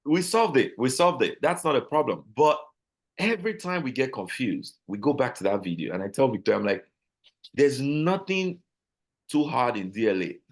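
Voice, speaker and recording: A man with a Nigerian accent, a guest talking casually in an interview, animated and full of restarts and repeated words. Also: medium pitch, moderate pace. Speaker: guest, business owner.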